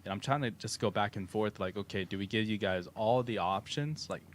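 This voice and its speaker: Deep-voiced Texan man chatting on a stream. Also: a little fast. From Texas. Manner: relaxed, rambling, with fillers and laughs. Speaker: co-host of a crypto livestream. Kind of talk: casual livestream chat. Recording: faint background noise.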